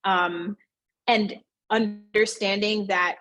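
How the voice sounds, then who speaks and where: A woman in her thirties talks through her answers in a loose, chatty way. She keeps an everyday mid-range pitch and slips into livelier voices whenever she quotes someone. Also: fairly even intonation, takes it slowly, a bit bright. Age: 30s. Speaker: fitness studio owner, guest. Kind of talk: casual interview answers.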